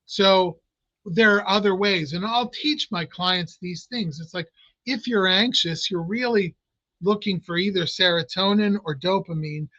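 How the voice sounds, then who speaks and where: A man with a fairly high voice explains hypnosis in a relaxed interview, often restarting his sentences. His voice sounds dry and a bit dull, like remote audio. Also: a little slow, dry recording. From Italian American family. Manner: explanatory, many restarts and fillers. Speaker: clinical hypnotist guest. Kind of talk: casual interview talk.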